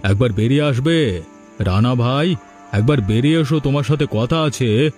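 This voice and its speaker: পুরুষ কণ্ঠে নরম স্বরের কার্টুনের গ্রাম্য লোক. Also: male voice, mid-range voice, animated, moderate pace, a little mellow, faint music behind, lightly acted. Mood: suspicious. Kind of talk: cartoon dialogue.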